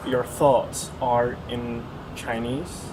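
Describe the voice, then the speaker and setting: A male interviewer puts questions to a guest, pausing often with fillers between phrases. His voice sits at an ordinary middle pitch. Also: little pitch movement, darkish tone, slight background noise, poor audio. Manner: asks questions with many hesitations.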